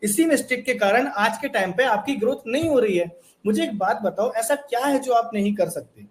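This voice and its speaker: High-voiced earnest man coaching viewers. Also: lively intonation, moderate pace. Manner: earnest, repetitive, rhetorical questions to the viewer. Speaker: online course instructor. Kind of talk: motivational course lesson.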